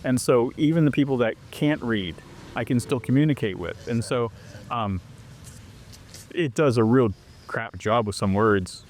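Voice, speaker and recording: A man's voice: mid-range voice, unhurried, a little hiss or hum. A man from Canada, chatting as an interview guest and acting out little exchanges as he tells them. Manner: animated storyteller who acts out quoted exchanges. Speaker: interview guest, foreigner living abroad. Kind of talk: casual interview chat.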